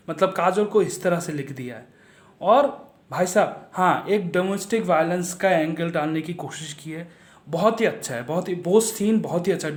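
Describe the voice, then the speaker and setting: A man's voice: high voice, roomy sound. A man giving a casual spoken film review, blunt and mocking, repeating himself for emphasis.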